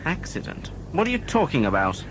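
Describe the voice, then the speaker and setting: A man's voice: huge pitch swings, brisk. An actor playing an impatient, threatening male company agent in an audio drama. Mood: menacing. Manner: demanding, threatening. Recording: very noisy rumbling background.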